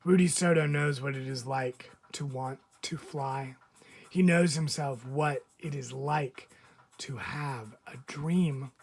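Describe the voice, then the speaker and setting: A man reading a picture book aloud as a teacher would, expressively, with deliberate dramatic phrasing and frequent pauses. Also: medium pitch, vocal fry. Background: background music.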